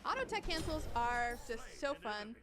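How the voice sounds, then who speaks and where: A woman's voice: fairly high pitch, sing-song, moderate pace, over music. A woman who works on a game, presenting its updates on a livestream in an upbeat, explanatory way with plenty of fillers.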